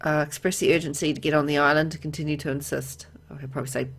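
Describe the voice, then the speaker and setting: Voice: medium pitch, little pitch movement, rapid-fire, a bit bright, breathy edge. A game player reading a visual novel aloud and adding informal commentary and reactions. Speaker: gamer, let's player.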